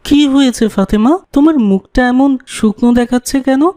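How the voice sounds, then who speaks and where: Woman voicing a mellow caring big-brother boy. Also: high-pitched, normal speed, natural voice. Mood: caring, reassuring. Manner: reassuring, instructive. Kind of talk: cartoon dialogue acting.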